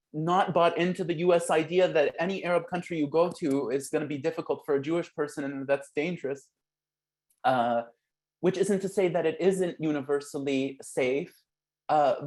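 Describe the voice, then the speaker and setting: A young adult man, an author speaking as a guest in a conversation, talking in a halting, reflective way with many fillers and restarts. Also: a little high, a little mellow. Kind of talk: conversational talk.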